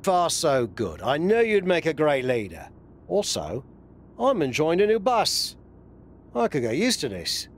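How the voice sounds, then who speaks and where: A man's voice: fairly high pitch, huge pitch swings, unhurried, slight background noise, heavily acted. An actor playing a cautioning, wry male advisor to a wrestler in a video game cutscene. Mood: wry, pleased.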